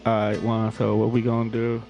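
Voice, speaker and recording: A man's voice: on the low side, monotone, fairly quick, creaky, warm tone. A man chatting casually and giving slangy, laid-back instructions during a video game. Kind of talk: casual chat while coaching a video game. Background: music.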